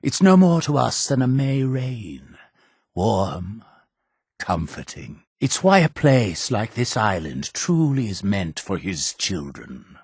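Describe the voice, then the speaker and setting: A suspicious, stern cult leader for a video game. His voice is husky and fairly low, swinging widely in pitch while staying formal and deliberate. He sounds like a solemn preacher, fatherly on the surface and menacing underneath. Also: sing-song, darkish tone, no room sound, clean studio sound, exaggerated voice. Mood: calm, pious. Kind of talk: scripted video game dialogue.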